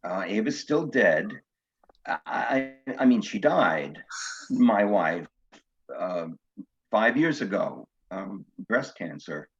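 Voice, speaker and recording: A man's voice: lowish voice, big pitch swings, takes it slowly, exaggerated voice. Man acting a nervous older widower, muffled sound. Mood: flustered. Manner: hesitant, stammering, earnest, refined. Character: nervous, cultured older widower on a virtual date. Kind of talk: one-act stage comedy acting. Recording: dull, lo-fi sound.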